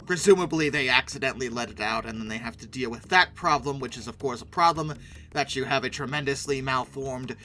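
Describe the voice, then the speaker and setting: A man's voice: medium pitch, brisk, bright, forceful tone, faint music behind. A man giving casual video commentary in a sarcastic, opinionated, emphatic way. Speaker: YouTube commentator and host.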